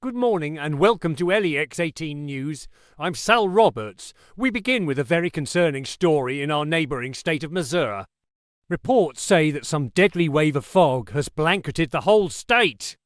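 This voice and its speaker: A man acting as an earnest local TV newsreader. His voice sits a little high and sounds dry and close, presenting scripted news in a conversational anchor style. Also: lively intonation, normal speed, dry recording, close to an everyday voice. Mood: earnest, concerned.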